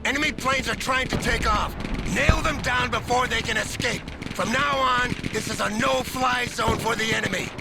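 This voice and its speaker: An actor playing an authoritative army general giving firm orders in a military strategy game. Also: male voice, very high-pitched, moderate pace, vocal fry. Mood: commanding. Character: commanding US army general directing an airbase assault. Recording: loud music over the voice.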